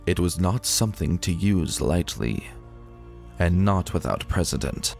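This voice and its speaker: Low-voiced man narrating dark fantasy. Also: expressive, measured pace, creaky. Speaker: narrator reading fan fiction. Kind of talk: dramatic scripted narration of a dark fantasy story. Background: music.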